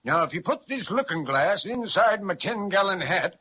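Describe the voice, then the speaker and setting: An actor playing a kindly, boastful cowboy leader with an American Western drawl in a 1930s children's radio serial. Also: male voice, sits high, lively intonation, normal speed, slight background noise. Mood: folksy, instructive. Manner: folksy, drawling cowboy talk. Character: folksy cowboy Slim, kindly, boastful leader of a little posse. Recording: muffled vintage 1930s radio recording.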